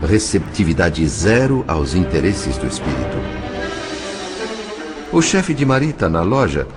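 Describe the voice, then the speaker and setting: A man with a Brazilian accent narrating an audio novel in a solemn, measured, dramatic storytelling style. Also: sits low. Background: music.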